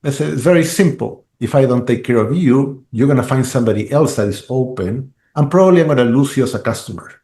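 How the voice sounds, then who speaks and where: A man's voice: mid-range voice, animated, quick pace, few pauses, warm tone. A man. He talks in loose, rambling runs full of restarts and "right?" tags. His voice sits in a roomy, echoing space. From Chile. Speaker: guest. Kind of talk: podcast interview.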